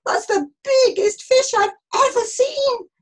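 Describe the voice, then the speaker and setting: A woman voicing a reluctant, self-pitying male prophet in a children's story, with a groaning, drawn-out, whiny delivery. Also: very high-pitched, sing-song, exaggerated voice. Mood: wailing despair.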